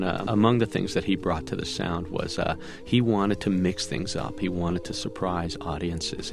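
A Cuban-born man with no accent in English, a musician answering radio interview questions in a relaxed storytelling way with small restarts. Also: low voice, creaky. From Cuba. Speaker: musician guest. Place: remote studio.

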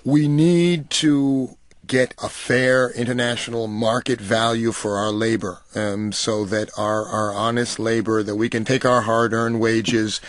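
Middle-aged American male interview guest, mid-pitched, thoughtful and deliberate, close dry studio sound. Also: fairly even intonation, dry recording. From New York City, United States. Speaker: interview guest, coffee cooperative marketing director. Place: radio studio.

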